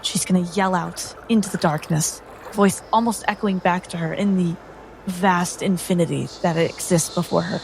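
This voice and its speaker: A woman narrating her character's actions in a tabletop role-playing game, with deliberate, descriptive, dramatic storytelling. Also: lowish voice, vocal fry, darkish tone, a little hiss or hum, low-quality recording.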